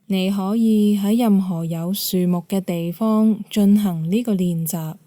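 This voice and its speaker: A woman leads a guided meditation as an instructor, calm and measured, giving gentle instructions with pauses. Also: medium pitch, no echo.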